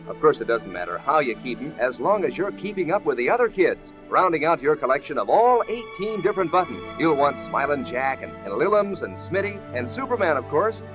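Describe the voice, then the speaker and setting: A male radio announcer with a slightly high, very animated voice sells to kids in chummy 1940s style. The old recording is dull, with music clearly behind his folksy, enthusiastic patter. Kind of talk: 1940s radio ad pitch to children.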